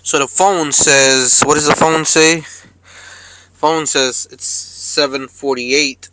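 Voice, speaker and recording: A man's voice: on the high side, steady tone, takes it slowly, pushed and bright, poor audio. A man talking casually to viewers as a truck driver vlogger, in a laid-back, rambling, slangy way. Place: cab of a parked truck.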